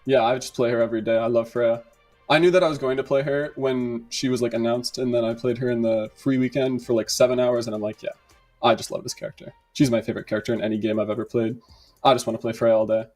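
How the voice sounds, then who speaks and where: A man's voice: mid-range voice, little pitch movement, quick pace, a little mellow, soft background music. Male gamer giving casual interview answers. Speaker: esports player being interviewed.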